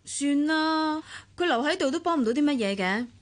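An actress playing a composed, dutiful young woman losing her home, speaking polite, measured Hong Kong Cantonese in a radio drama. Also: sits high, expressive.

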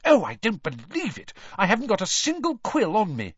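A giddy, star-struck schoolgirl, put on by a male narrator, high-pitched and frantic. She gushes breathlessly over her idol. The sound is dull and dry. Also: wildly swooping pitch, normal speed, husky, no room sound, exaggerated voice. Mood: gushing. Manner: frantic, gushing.

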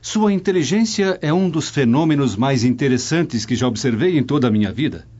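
A man's voice: medium pitch, animated, a little fast, a little mellow, lo-fi sound, lightly acted. A man playing a proud, admiring Roman nobleman and father in a radio drama.

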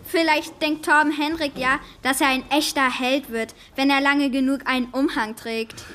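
A woman playing an excitable, slangy schoolboy pal in a children's radio play. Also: high voice, normal speed, a little hiss or hum. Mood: excited.

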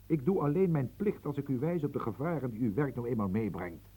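An actor playing a sober, dutiful secretary in a radio play, speaking in a measured, earnest, lecturing way. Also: male voice, mid-range voice, lively intonation, mellow, breathy edge. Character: sober male secretary in a dark suit, calm and dutiful. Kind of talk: radio drama acting.

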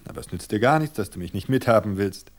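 A man voicing a controlling, suspicious husband who speaks with quiet amusement. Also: fairly low pitch, expressive, quick pace. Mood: insistent. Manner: amused, insistent. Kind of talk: audiobook reading of a thriller.

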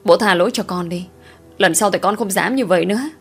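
A woman's voice: medium pitch, fairly quick, husky, soft background music. A woman voicing a young village daughter who cries and begs her father for forgiveness. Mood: tearful pleading. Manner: tearful, begging.

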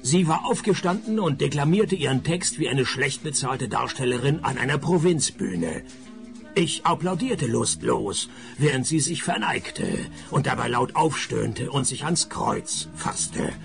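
A man's voice: medium pitch, lively intonation, measured pace, vocal fry, music in the background. An actor playing a world-weary, sarcastic male private detective who narrates his case in a radio play. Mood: wry, weary. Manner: dry, sarcastic, laconic.

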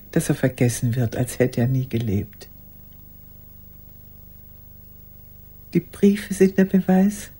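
An older actress playing a lonely, pleading old woman in a radio play monologue, halting and intense, with a husky voice. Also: on the low side, dark tone, exaggerated voice. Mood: pleading, insistent.

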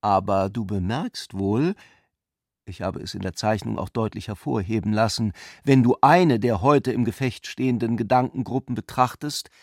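A man's voice: lowish voice, moderate pace, a little mellow, dry recording, studio quality. A man reading literary prose and its dialogue as the narrator of a radio play.

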